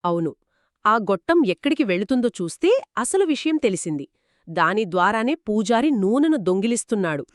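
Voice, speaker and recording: A woman narrates a story as one of two hosts, with a lively, swinging melody in her mid-pitched voice. She explains in an expressive, conversational way, often affirming her partner. The sound is close and dry. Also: a little fast, no echo. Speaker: storyteller host. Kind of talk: conversational moral storytelling in a two-host dialogue.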